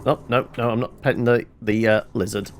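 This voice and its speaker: A man's voice: on the low side, steady tone, a little fast, faint music behind. A man giving casual video game commentary, rambling and thinking aloud to himself. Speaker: gameplay streamer.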